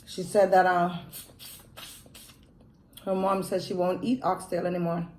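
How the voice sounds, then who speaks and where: A woman's voice: on the low side, level tone, reverberant, slight background noise. A woman with a mixed Jamaican and Quebec French accent chatting casually while eating on camera. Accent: Jamaican and Quebec French mix. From Jamaica, raised in Montreal. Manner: slow, chatty, pauses to eat. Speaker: mukbang vlogger. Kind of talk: casual eating vlog chat.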